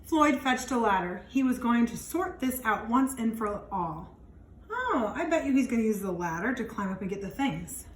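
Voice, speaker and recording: A woman reads a picture book aloud to children in an animated way, stretching words for emphasis and adding chatty, wondering asides. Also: on the high side, moderate pace. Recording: echoey room with some background noise.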